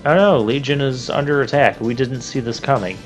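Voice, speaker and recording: A man comments casually over a video game in a medium-pitched voice. He is informal and chatty, thinking aloud as he plays. Game music runs clearly underneath. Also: fairly quick, poor audio. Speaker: video game let's player. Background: game music and crashing effects.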